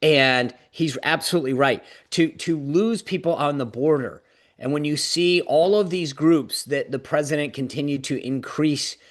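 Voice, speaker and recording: A man's voice: a little high, moderate pace. A man on a political panel show, talking earnestly and restarting his phrases often. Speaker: political commentator. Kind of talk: panel discussion.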